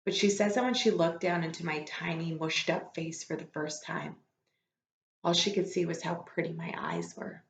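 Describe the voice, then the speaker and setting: Woman, lowish voice, expressive storybook read-aloud, informal teacher tone, roomy echo. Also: moderate pace, a little hiss or hum. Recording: echoey room, slightly dull lo-fi sound.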